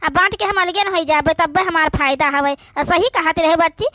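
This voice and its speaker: A woman's voice: very high voice, moderate pace, sharp tone, dry recording, clean studio sound. A woman with an Awadhi accent voicing a wary, indignant young village housewife in a cartoon, complaining in a plain-spoken way. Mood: aggrieved.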